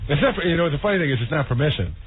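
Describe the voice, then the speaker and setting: A man's voice: a little high, animated, very fast, husky. A man on a radio show making wry, joking remarks. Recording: narrow phone-like radio audio.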